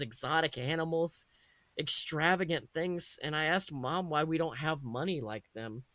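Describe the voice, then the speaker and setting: A man's voice: a little high, bright, forceful tone, no echo. A man voicing an eager, proud eleven-year-old wizard boy who tells his own story in a chatty, self-correcting way. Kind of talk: first-person dramatic storytelling.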